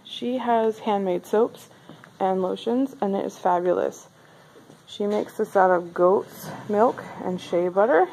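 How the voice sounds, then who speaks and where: A woman's voice: mid-range voice, dark tone, slight background noise, low-quality recording. Chatty woman filming a craft bazaar. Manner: chatty, upbeat, filler-heavy. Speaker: vlogger. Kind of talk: casual walk-through vlog. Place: indoor craft bazaar hall.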